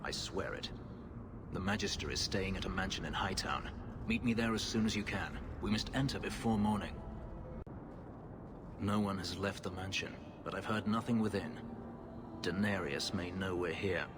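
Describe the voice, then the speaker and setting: An actor playing a brooding, bitter fugitive slave warrior in a video game, with grave, formal, measured speech. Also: male voice, deep voice, background noise, natural voice. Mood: grim resolve.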